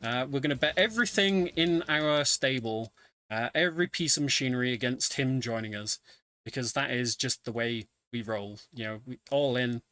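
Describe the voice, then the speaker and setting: A man giving casual, conversational live commentary on a video game speedrun, often pausing with ums. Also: medium pitch, bright, forceful tone, smooth. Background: game music.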